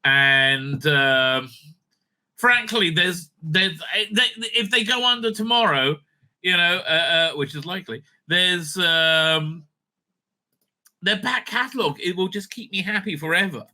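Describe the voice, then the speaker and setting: A man's voice: high voice, lively intonation, unhurried, sharp tone. A man giving casual, unscripted commentary as host of a fan news show, rambling and thinking aloud in a blunt, sweary way. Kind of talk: casual unscripted commentary while browsing a screen.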